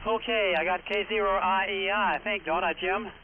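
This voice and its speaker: A man's voice: high voice, steady tone, moderate pace. A man hosting an amateur radio net in a folksy, encouraging, conversational way. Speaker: net control host. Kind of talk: casual ham radio net chat. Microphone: radio microphone. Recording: phone-like radio audio, faint static.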